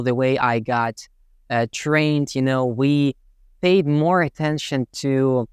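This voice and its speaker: A man's voice: medium pitch, smooth, no echo, studio quality. A young man from Tatarstan with a Russian accent, hosting his channel and talking thoughtfully about learning English, with many fillers. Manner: thoughtful, with many fillers like "you know" and "right". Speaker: English teacher, channel host. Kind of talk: reflective talk to camera.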